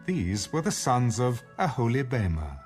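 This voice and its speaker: A man giving a formal, measured scripted narration of scripture, as in an audio Bible. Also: medium pitch, sing-song, a little mellow. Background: background music.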